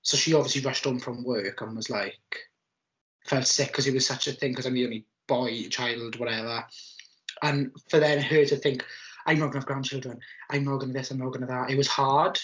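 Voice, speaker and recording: A man's voice: mid-range voice, steady tone, brisk, fairly bright tone. Interviewee, man, 20s, casual storyteller, dull lo-fi sound. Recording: muffled lo-fi sound.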